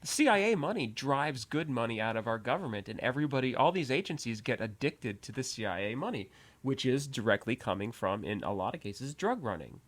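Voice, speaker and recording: A man's voice: mid-range voice, a little fast. Guy on a radio show, voice swings all over the place, blunt and emphatic. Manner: animated, emphatic, blunt opinions. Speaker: radio discussion guest or co-host. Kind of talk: casual political radio discussion. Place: radio studio.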